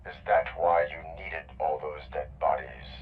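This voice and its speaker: A man's voice: extremely low pitch, steady tone, measured pace, narrow, tinny audio. A man voicing a stoic, righteous cyborg police officer in a video game, speaking in terse, formal commands. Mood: stern.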